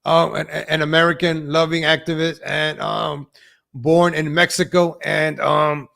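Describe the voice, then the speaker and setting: A self-important woman politician, as a male streamer mimics her, reads out a statement in a fairly high voice. The delivery is halting and stumbling, padded with constant filler sounds for mockery. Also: vocal fry, sharp tone, full character voice. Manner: halting, stumbling, filler-laden mock reading.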